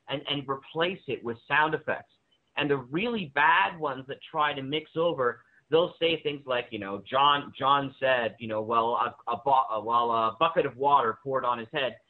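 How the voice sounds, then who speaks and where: A man explaining his view in a casual panel discussion, with hesitant restarts, over a thin call. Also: fairly high pitch. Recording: thin, phone-like call audio.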